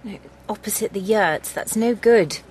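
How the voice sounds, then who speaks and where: A woman's voice: mid-range voice, unhurried, a little hiss or hum, close to an everyday voice. An actress playing an earnest, stubborn, spiritual woman with an English accent in a naturalistic radio drama. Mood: hurt, defensive. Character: earnest, wounded, stubborn spiritual woman fighting for her land.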